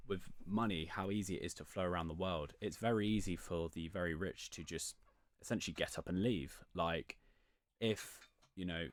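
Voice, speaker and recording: Male discussion guest, deep voice, measured and tentative, conversational explainer, very dry recording. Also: dry recording. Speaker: podcast guest.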